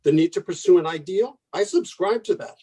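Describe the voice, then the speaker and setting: A man acting in a radio play as a skeptical, idealistic young mathematician, with a soft-edged husky voice. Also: high-pitched, brisk. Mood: exasperated, ardent.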